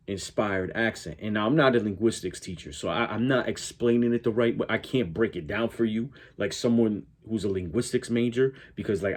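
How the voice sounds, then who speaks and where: A man's voice: fairly low pitch, slightly rough. A man with a southwestern Connecticut accent close to New York's gives casual, opinionated commentary, rambling and stammering as he corrects himself. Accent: southwestern Connecticut, close to New York. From Connecticut, United States. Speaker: YouTube reaction host.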